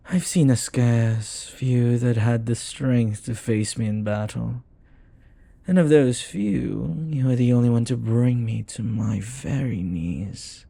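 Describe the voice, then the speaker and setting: Jealous demon boyfriend for roleplay audio, played by a man: mellow, husky, intimate, formal and devoted. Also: mid-range voice, no echo, exaggerated voice.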